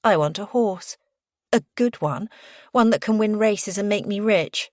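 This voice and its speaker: A woman's voice: mid-range voice, unhurried, no echo, studio quality, voice pushed up, lightly acted. A woman voicing a brash young female schemer in an audiobook, gushing, taunting and smug. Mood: smug, scheming.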